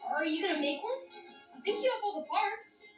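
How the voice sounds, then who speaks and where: A young actor voicing a timid, curious sea monster boy, eager and nervous, in animated film dialogue. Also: extremely high pitch, fairly quick, recorded from a distance. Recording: echoey clip over faint music, off-mic.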